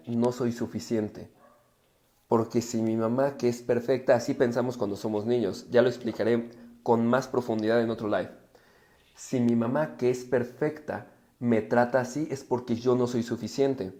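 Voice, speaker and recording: A man's voice: mid-range voice, warm tone. A man with a Mexican accent giving an informal live self-help talk to viewers, explaining emphatically and acting out inner dialogue as he goes. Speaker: livestream speaker on relationships and psychology.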